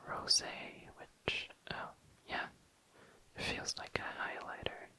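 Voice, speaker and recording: An ASMR host whispering in a breathy, unvoiced voice, musing casually and tentatively, close to the mic. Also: takes it slowly. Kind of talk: whispered ASMR, casual makeup swatching narration.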